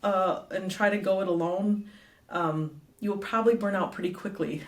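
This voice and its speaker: A woman's voice: mid-range voice, steady tone, moderate pace. A middle-aged woman chats as an interview guest, laughing often and filling her sentences with ums and likes. Her voice sits in a roomy, echoing space. She is heard at some distance from the mic. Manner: chatty, laughs often, many fillers. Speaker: guest, advocate and author. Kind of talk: casual interview conversation.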